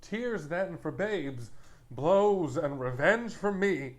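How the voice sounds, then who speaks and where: An actor playing a proud young nobleman bent on revenge, declaiming Shakespearean verse deliberately. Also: on the high side, lively intonation. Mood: vengeful, defiant.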